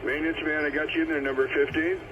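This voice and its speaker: A man's voice: fairly high pitch, little pitch movement, fast talker. A man hosting a radio roll call, calling out and confirming numbers in a procedural, repetitive way over CB radio. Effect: CB radio transmission. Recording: tinny, very noisy CB radio. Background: radio static and noise.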